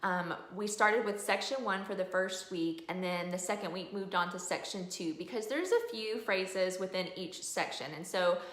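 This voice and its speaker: Female reviewer, mid-pitched voice, earnest and conversational explainer delivery, recorded in a reverberant room. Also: moderate pace, few pauses, a bit bright. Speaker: homeschooling mom reviewing a curriculum. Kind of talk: casual explanatory product review. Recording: roomy, echoey room sound.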